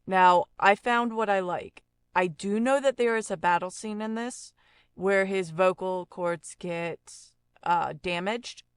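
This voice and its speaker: A woman hosting an informal audio software tutorial, explaining step by step in a conversational, unhurried way. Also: medium pitch, smooth, dry recording. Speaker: audio effects tutorial host, voice-over artist.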